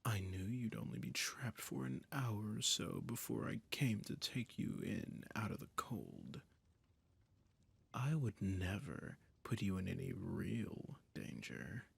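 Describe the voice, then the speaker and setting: A man voicing a domineering, possessive male emperor in an audio roleplay, speaking in a soft, condescending half-whisper. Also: on the low side, creaky, breathy edge, exaggerated voice. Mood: coolly possessive.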